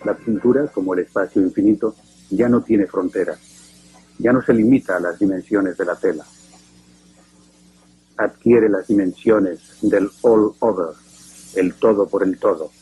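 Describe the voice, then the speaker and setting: A man narrating a documentary in a grave, deliberate scripted voice. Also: fairly low pitch, warm tone, slight background noise, poor audio.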